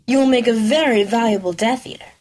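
A woman putting on a soft hissing dark lord. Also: fairly high pitch, fast talker, close to an everyday voice. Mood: menacing. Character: cold, hissing male dark lord, menacing. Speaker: audition reader.

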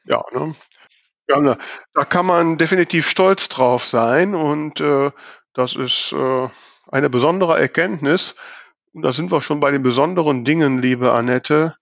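A man's voice: on the high side, a little slow, gravelly, fairly bright tone, no room sound. A man hosting a casual podcast conversation, often hesitating and searching for his words. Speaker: podcast host.

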